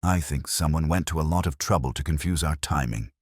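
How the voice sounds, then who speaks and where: Man acting a shrewd male inspector, very deep voice, measured and quiet, slightly husky, audio mystery. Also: dry recording, studio quality. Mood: grave, deliberate. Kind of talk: audio mystery story dialogue.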